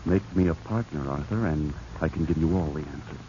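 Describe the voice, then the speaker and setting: A man's voice: rumbling low, brisk, mellow. A man playing a resentful, scheming office climber turned killer in a 1940s radio drama, hard-edged and bitter. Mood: pushy, indignant. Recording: muffled, noisy 1940s radio recording.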